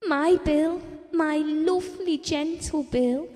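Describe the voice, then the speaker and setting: A fragile, high-voiced anxious wife. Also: female voice, slow pace, warm tone. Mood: hurt, wistful. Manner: wounded, reminiscing, confiding in the listener. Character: anxious, fragile, grieving wife in a broken marriage. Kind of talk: audio drama monologue and dialogue. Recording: reverberant hall-like room sound.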